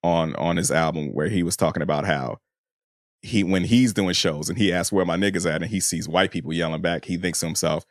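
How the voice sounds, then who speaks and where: A man with a low voice discusses things as a podcast co-host. The sound is dry and close. He is thoughtful and casual, feeling his way through a point and rewording it. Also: dry recording. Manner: thoughtful, halting, rephrasing as he goes.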